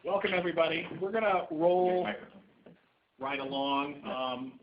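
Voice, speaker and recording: A man giving a practical seminar presentation to a room, talking briskly through slides. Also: on the high side, dull audio, slight background noise. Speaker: lawyer presenter. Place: conference room with a live audience.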